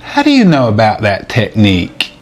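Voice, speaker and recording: A man's voice: fairly low pitch, wildly swooping pitch, moderate pace. A man playing a flustered, naive guy caught two-timing on a date, in a comedic dramatization. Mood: defensive. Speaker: actor in a sitcom-style dramatization. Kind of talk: acted comedic date dialogue.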